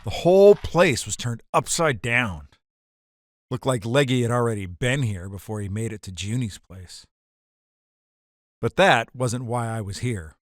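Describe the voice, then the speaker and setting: A hardboiled ex-bootlegger, acted by a man tells his caper in a noir radio play. His delivery is terse and wry, at a middling pitch, recorded very dry and close. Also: animated, measured pace, no room sound, close to an everyday voice. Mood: wry, reflective. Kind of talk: noir audio drama narration.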